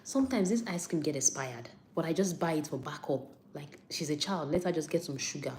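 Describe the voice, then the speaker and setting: Nigerian woman, young adult, low voice, live-stream health talk, chatty, repeats herself for emphasis. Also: animated, fairly quick, a little mellow, slight background noise. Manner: chatty, repeats points for emphasis, answers viewer comments.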